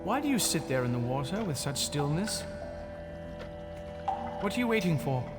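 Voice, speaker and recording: An actor playing an indignant, suspicious British banker in an audio drama, talking in a theatrical, exclaiming way. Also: male voice, on the high side, very animated, moderate pace. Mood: wondering. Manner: theatrical, muttering to himself, exclaiming. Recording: loud music behind the voice. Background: music.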